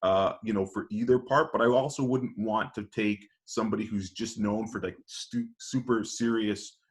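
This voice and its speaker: A man on a podcast panel casually pitching ideas, hesitant as he thinks aloud. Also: steady tone, moderate pace.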